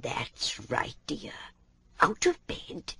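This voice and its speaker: An actress playing a kindly old serving woman in a fantasy audio drama, coaxing tenderly in a hushed, breathy voice. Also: drawn-out words, crowd noise. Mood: tender urging. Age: older.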